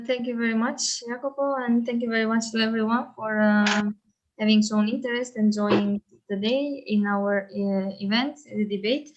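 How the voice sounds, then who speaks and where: A woman adjudicating an online debate, speaking politely and formally over a video call. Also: medium pitch. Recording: muffled video call audio.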